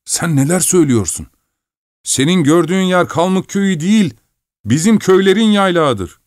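A man's voice: high voice, expressive, normal speed, no echo, clean studio sound, voice pushed up. A man voicing a bossy, self-assured male traveller who scolds the sleigh driver in a raised voice, in a storybook reading. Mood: impatient.

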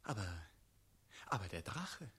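A man's voice: fairly low pitch, big pitch swings, unhurried, a bit bright, breathy edge, exaggerated voice. A man playing a courteous, earnest wandering knight in a radio play, speaking politely and a little hesitantly. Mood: polite, probing.

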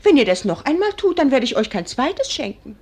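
An actress playing a cheeky, coquettish young wife in a 1940s German radio play, coy, sing-song and theatrically indignant. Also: female voice, high-pitched, big pitch swings, fairly quick, a little mellow, husky, exaggerated voice. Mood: indignant, pouting.

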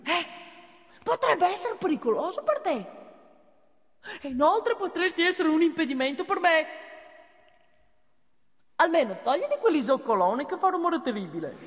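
A woman's voice: extremely high pitch, in a large hall. An actress playing a fussy, officious, pious female police officer in a comic theatre monologue. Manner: officious, formal wording, fussy.

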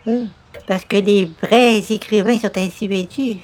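A man's voice: high voice, fairly even intonation, measured pace, a little mellow. A devout, gullible doorstep visitor from a radio comedy. He speaks with fussy, ceremonious politeness and breaks easily into exclamation. Faint noise sits behind the voice. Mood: puzzled. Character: overly polite, pious, naive male religious door-to-door visitor. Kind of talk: old-time radio comedy acting.

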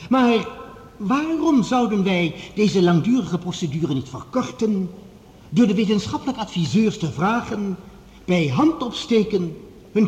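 An eminent radio-play scientist with a high-set, widely swinging voice. He speaks in measured, formal oratory, composed and statesmanlike, in a big reverberant hall. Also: darkish tone. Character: eminent male scientist, formal, composed, conceding rival professor. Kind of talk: radio play acting, formal speech to an assembly. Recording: echoey large hall with long reverb.

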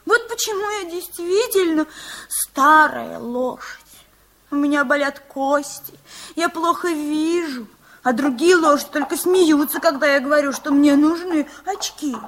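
Plaintive, high-voiced old mare. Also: female voice. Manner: plaintive, muddles horse and human words. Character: kind old mare, once a girl, aching and muddled. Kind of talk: Soviet radio play acting. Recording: noisy 1960s radio recording.

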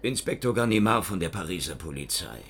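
An actor playing a dogged Paris police inspector in a radio play who questions witnesses insistently. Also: male voice, deep voice, animated, brisk, a bit bright, breathy edge, full character voice. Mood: questioning.

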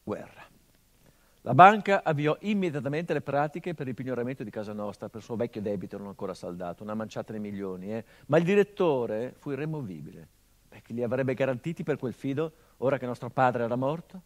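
A male actor delivering a theatrical monologue as a son remembering his father, measured and intimate, swelling into urgency. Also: medium pitch, animated, close to an everyday voice.

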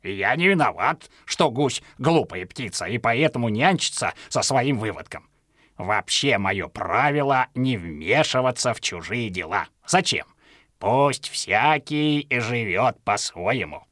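A man's voice: medium pitch, animated, measured pace, fairly bright tone, no room sound, studio quality. A man playing a lazy, self-satisfied old drake husband in an audio storybook, grumbling and pompously justifying himself. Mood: pompous, dismissive. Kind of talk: audio storybook character acting.

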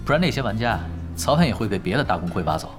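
An actor playing a calm, confident young male pro gamer in an audio drama, unhurried and explanatory with light teasing. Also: lowish voice. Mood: relaxed. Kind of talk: Chinese audio drama acting. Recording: studio voice over clear background music.

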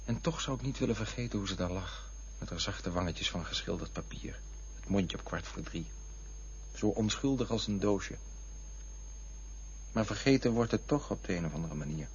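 An actor playing a defensive, wistful man in a Dutch radio play, touchy and drifting into lyrical memories, with a husky voice. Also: fairly low pitch, lively intonation, normal speed, slight background noise. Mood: wistful reminiscing. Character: defensive, wistful man looking back on lost loves. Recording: dull, lo-fi radio play recording.

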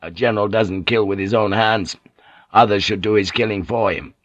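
A man's voice: lowish voice, fairly even intonation, moderate pace, fairly bright tone, no echo, lo-fi sound. A man voicing a wily Japanese lord, urgently persuasive and conspiratorial. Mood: deferential, pressing.

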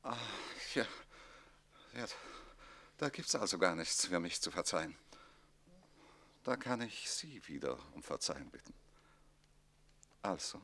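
Jealous, bright-voiced, blustering count. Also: male voice, deep voice, big pitch swings, unhurried, pauses often, heavily acted. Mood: flustered, contrite. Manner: imperious, theatrical, blustering. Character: jealous, hot-tempered count, pompous nobleman tricked by his wife. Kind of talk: classic German radio comedy play acting.

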